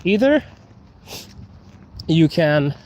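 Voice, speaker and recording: A young man from Zimbabwe hosting a relaxed live Q&A while walking outdoors. He has his sinuses bothered by pollen, laughs often and drags out some words. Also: a little high, extremely slow, dull audio, a little hiss or hum. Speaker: host. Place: outdoors on a city street.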